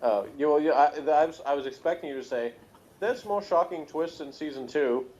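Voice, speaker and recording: A man's voice: a little high, brisk, a loud crowd. Dull-sounding lo-fi recording of a teasing man. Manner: teasing, jokey. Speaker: review show co-host. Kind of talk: casual joking chat.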